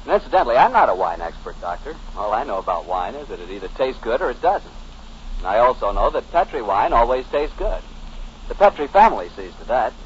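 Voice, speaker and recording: An American man works as a 1940s radio announcer and pitchman. His folksy, polished delivery is lively in pitch around an average male register. The recording is dull and a little noisy. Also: fast talker.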